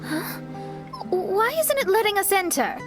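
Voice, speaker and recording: A woman's voice: very high-pitched, animated, unhurried, over music. A woman playing a proud, easily embarrassed young astrologer genius in an anime-style video game, with a boastful, theatrical way of talking. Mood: peeved.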